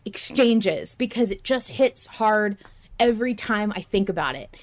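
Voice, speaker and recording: A woman hosting a casual fan discussion podcast, speaking in an emphatic, passionate and halting way. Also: mid-range voice, lively intonation. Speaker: podcast host.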